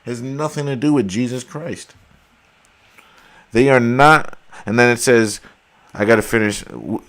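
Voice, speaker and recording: A man talking casually and emphatically to his viewers, saying he is losing his voice. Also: on the low side. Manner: emphatic, argumentative, addresses viewers as guys. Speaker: video host.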